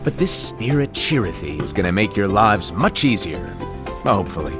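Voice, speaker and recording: A man's voice: fairly low pitch, big pitch swings, normal speed, music in the background. An actor playing a playful, mysterious male mentor in a video game cutscene, talking in a breezy, teasing way. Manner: breezy and teasing, then ominous warnings. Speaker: master of apprentices.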